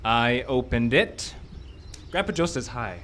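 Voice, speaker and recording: A man acts out a brash, scheming movie producer in a radio play. His voice leaps up and down, glib and excitable, stumbling over words when flustered, with some noise in the live recording. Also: medium pitch, measured pace. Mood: smug, sheepish. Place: live radio show in a park.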